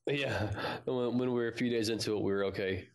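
A man's voice: lowish voice, fairly even intonation, fairly quick. A man co-hosting a fan podcast, talking casually about a show. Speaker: podcast co-host.

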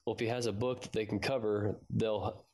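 A man with a fairly low voice co-hosts a fan podcast. His delivery is informal and conversational, chatting with a fellow host. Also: steady tone, a little fast, a little mellow. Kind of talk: casual podcast chat.

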